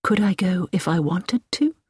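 A woman's voice: fairly low pitch, expressive, moderate pace, darkish tone, breathy edge, no echo, studio quality. A woman playing an uneasy but strong-minded woman traveler in a storybook, earnest and questioning. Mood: firm, questioning.

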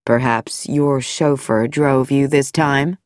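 A woman reading an audiobook voices a smooth, calm, quietly mocking middle-aged male doctor in a lowered voice. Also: takes it slowly, dry recording, studio quality.

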